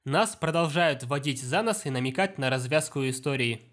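A man reading a scripted film review narration in a brisk, explanatory way. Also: mid-range voice, animated, fairly bright tone.

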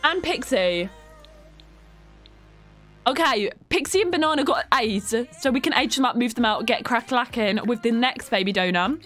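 A young woman live-streaming a game from her home setup, her voice stuffy and sniffly from a cold. She greets her chat with affectionate pet names and frequent giggles, pitched a little high, with soft game music under her talk. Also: lively intonation, normal speed.

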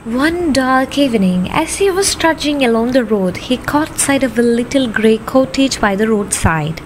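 A woman's voice: on the high side, animated, moderate pace, slight background noise. A young woman reading scripted story narration for an amateur radio drama. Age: young adult.

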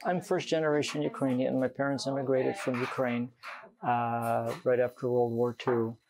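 An older man in an interview, speaking haltingly and heartfelt, on a lapel mic in a shop. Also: medium pitch, flat delivery, crowd noise, low-quality recording. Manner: hesitant, heartfelt, with fillers. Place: candle shop, indoors.

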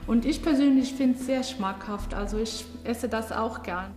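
A female cook explains things in a casual, unscripted way. Her voice is on the high side and rings in a roomy space with a long reverb, with faint music underneath. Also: measured pace. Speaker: tavern cook and interviewee. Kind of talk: casual explanation while cooking. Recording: roomy, reverberant on-location sound.

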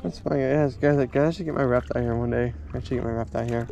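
A man's voice: medium pitch, steady tone, quick pace, gravelly. A man chatting casually to his viewers while paddling on a lake, rambling and repeating himself, recorded on an action camera mounted on the board. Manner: rambling, repeats words, keeps saying guys. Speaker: vlogger. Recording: outdoor camera audio with water noise. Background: water splashing and paddling.

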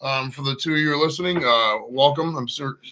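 A man's voice: medium pitch, fast, sharp tone. A man hosting a casual live podcast from a cluttered basement room into a desk microphone, rambling and swearing offhandedly. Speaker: podcast host.

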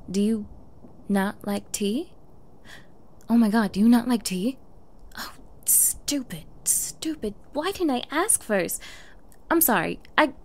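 A woman's voice: sits high, heavily acted. A woman playing a flustered, clingy young yandere in an ASMR girlfriend roleplay, with a coy, stammering manner and feigned ditzy innocence. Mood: anxious, pleading. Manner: stammering, coy, eager, breathy asides. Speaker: roleplay voice performer. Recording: faint background noise.

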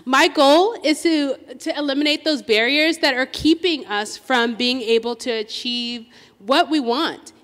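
A woman with a high voice speaks into a handheld microphone from a forum stage. She halts often, restarting phrases and filling gaps with "um." The sound carries a long reverb from the large hall. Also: fairly bright tone. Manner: halting, many fillers and restarts. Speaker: school board candidate. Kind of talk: candidate forum statement.

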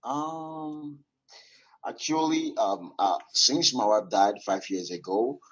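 A man with a foreign accent speaking haltingly with many fillers on an online voice call. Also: medium pitch, expressive, fairly bright tone, slightly rough, dull audio. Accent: foreign English. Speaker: caller.

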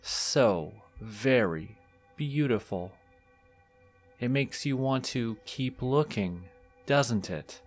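Archfey captor, played by a man, male, immortal; very animated intonation, silky, teasing, condescendingly affectionate; fantasy audio roleplay. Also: on the low side, exaggerated voice. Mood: hypnotic, soothing. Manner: courtly, coaxing, condescendingly affectionate.

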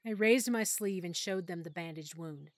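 A woman narrating a first-person audiobook in a soft, emotional storytelling manner. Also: on the low side, normal speed, no echo.

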